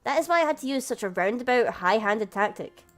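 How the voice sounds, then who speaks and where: A woman's voice: a little high, animated, fairly quick, soft background music, lightly acted. A woman doing Kashou, a sheepish young brother. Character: young adult man, a flustered older brother who narrates. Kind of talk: reading a visual novel aloud.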